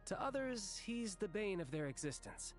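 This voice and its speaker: A man's voice: high-pitched, big pitch swings, moderate pace, faint music behind. A man playing a sly, genteel young official in a video game, speaking in a playful, articulate and theatrical way. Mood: playful, smug. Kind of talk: video game character acting.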